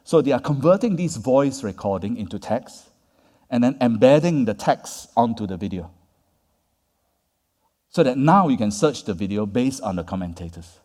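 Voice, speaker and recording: A man's voice: medium pitch, animated, normal speed, darkish tone. A man giving a conference lecture, talking to the audience in a conversational way and tagging his points with "right?" and "yeah?". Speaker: conference speaker. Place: conference hall. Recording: long reverb of a large hall.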